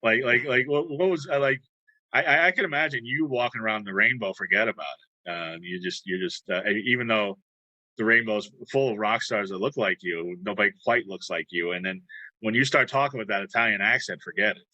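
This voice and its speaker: Male host, rambling and teasing, very bright tense tone, dry close mic. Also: on the low side, fairly quick, no echo, lo-fi sound. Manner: rambling, repeats words, teasing. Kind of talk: casual interview chat.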